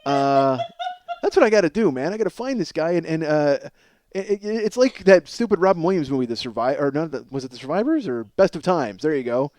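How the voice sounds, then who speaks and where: A man hosting a comedy podcast in a casual, rambling, profane and excitable ranting monologue. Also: on the high side, fairly quick, no room sound, clean studio sound. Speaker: podcast host.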